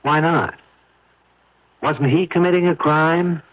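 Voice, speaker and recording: An American actor playing a hard, defiant conspirator in a radio mystery drama, talking in blunt, clipped, needling questions. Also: male voice, a little high, fairly even intonation, takes it slowly. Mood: accusing, menacing. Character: hard, defiant male conspirator covering up a killing. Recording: muffled old-time radio recording.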